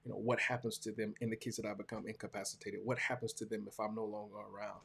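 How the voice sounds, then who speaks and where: Gravelly, husky Southern man in his forties. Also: lowish voice, little pitch movement, a bit bright. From small-town Georgia, US. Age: 40s. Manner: halting, repeats phrases for emphasis, earnest. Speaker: preacher hosting a talk show. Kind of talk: casual reflective monologue to viewers.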